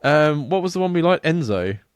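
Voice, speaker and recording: A man's voice: a little high, big pitch swings, fairly quick, clear, resonant tone, dry recording. A young man co-hosting a podcast, giggly and self-deprecating, swearing casually. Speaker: podcast co-host. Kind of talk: casual banter.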